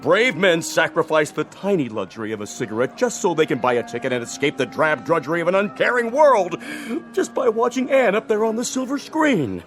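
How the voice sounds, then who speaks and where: Radio drama: slick, pushy film producer, lively and highish, selling hard with grand pleas. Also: male voice, fairly quick, soft background music. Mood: grandiose pleading. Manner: wheedling, grandiose salesman-like pleading. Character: pushy, smooth-talking older male movie producer.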